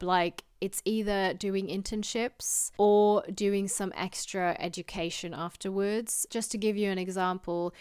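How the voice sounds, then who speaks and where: A woman's voice: mid-range voice, clear, resonant tone, dry recording, clean studio sound. A young woman in her twenties from Austria, talking casually and earnestly about her university studies, with frequent "like" fillers. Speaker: literature student vlogger. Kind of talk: casual explanatory vlog.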